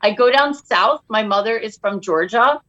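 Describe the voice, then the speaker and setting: A woman's voice: a little high, normal speed, a bit bright, muffled recording. A woman comedian guest on a podcast, joking and self-mocking in casual chat.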